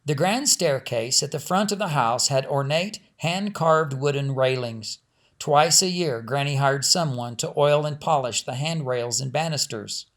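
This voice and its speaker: A man's voice: mid-range voice, unhurried. A man reading a family story aloud as scripted audiobook narration. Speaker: author reading own audiobook.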